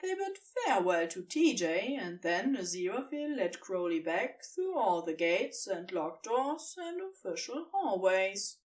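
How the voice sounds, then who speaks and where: A woman narrating fiction from a script in an expressive storytelling style. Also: mid-range voice, measured pace, hardly any pauses, far from the mic.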